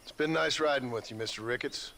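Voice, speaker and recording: A plain-spoken former outlaw in a Western game. His medium-pitched voice has a rural American frontier accent. He is terse and polite, with a worn, grim temper. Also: brisk, gravelly, sharp tone, a little hiss or hum. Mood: quietly friendly. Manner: terse, folksy, restrained. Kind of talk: video game character acting.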